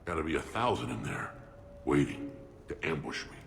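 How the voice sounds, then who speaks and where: An actor playing a big, weary alien soldier with dry humor and a rumbling voice in a video game. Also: male voice, sing-song, normal speed, vocal fry, slight background noise, heavily acted. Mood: dry, amused. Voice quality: very deep, rumbling.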